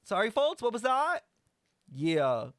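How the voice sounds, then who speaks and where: Animated, blunt woman game streamer. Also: a little high, slow pace, dry recording, clean studio sound. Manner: blunt, profane, exaggerated outrage. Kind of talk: casual live game reaction commentary.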